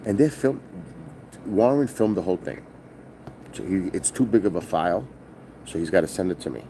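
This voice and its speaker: A loud-voiced middle-aged man, streaming casually from his phone. His voice sits a little low but swings widely in pitch as he tells a profane, rambling story. A faint hum of background noise sits under him. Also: expressive, moderate pace. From New York. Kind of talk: casual live-stream vlog. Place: hotel, indoors.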